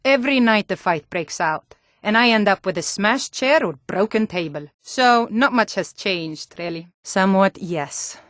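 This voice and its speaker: A woman voicing a sturdy, plain-spoken Nord townswoman in a fantasy game, speaking scripted lines in a measured way. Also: mid-range voice, expressive, a bit bright, no echo, clean studio sound. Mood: reflective.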